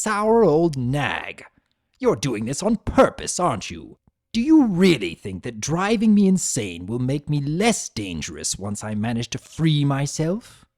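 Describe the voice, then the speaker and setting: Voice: medium pitch, darkish tone, dry recording, clean studio sound. A man voicing an imprisoned male spirit of chaos in a story reading, pompous, sarcastic and bitter, with theatrical, seething delivery.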